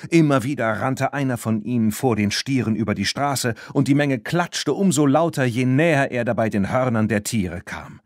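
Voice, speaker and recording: A man narrates a children's audiobook in an expressive storytelling style. His mid-pitched voice moves up and down a lot. The sound is very dry. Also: normal speed, hardly any pauses, no room sound.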